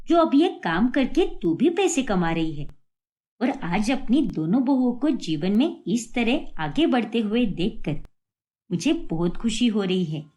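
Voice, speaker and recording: An approving older mother-in-law in a moral cartoon, voiced by a woman. She talks expressively, with wide rises and falls in pitch. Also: a little mellow. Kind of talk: acted moral-story cartoon.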